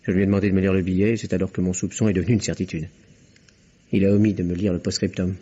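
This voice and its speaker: Fast-talking shrewd investigator, husky. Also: male voice, deep voice, little pitch movement, mellow. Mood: composed, explanatory. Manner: explanatory, matter-of-fact, assured. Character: shrewd, composed male investigator explaining his deductions. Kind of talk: French radio mystery drama acting. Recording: muffled lo-fi vintage radio recording.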